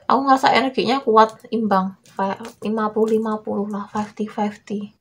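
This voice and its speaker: A young woman with a cold, her voice small and hoarse. She talks her tarot viewers through it in a soft, reassuring tone, drawing out her words as she goes. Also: mid-range voice, level tone, moderate pace. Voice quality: weak, small voice. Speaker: tarot reader. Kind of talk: casual tarot reading.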